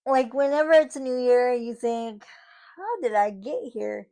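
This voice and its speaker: Woman, 30s, vlogger, casual rambling monologue, slightly high voice, unhurried delivery. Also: dark tone. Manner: rambling, many fillers and restarts.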